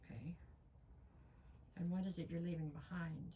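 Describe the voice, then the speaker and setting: Woman tarot reader, low-pitched, hoarse, stuffy nose, chatty with lots of ums, faint hiss behind. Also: steady tone, moderate pace, mellow, dull audio. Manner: chatty, frequent ums, talks straight to viewers. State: losing her voice, stuffy nose. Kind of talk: casual tarot reading.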